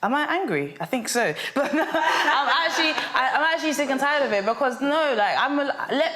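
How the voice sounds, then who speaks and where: A woman talks frankly and animatedly on a panel, swearing freely as she vents. Her voice sits a bit high, and the studio around her echoes. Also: animated, fairly quick, pushed and bright. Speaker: panel host. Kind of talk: casual panel discussion.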